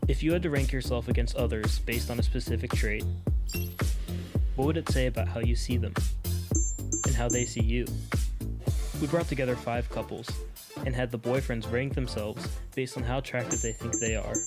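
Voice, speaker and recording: A woman's voice: a bass voice, fairly even intonation, normal speed, long pauses. A woman giving scripted narration for a video. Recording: narration over loud music.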